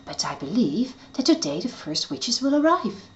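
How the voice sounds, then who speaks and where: A woman's voice: fairly high pitch, very animated, moderate pace, dull audio, a little hiss or hum, pitched above the performer's own voice. A woman voicing a small, bossy and impatient female fairy. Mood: eager.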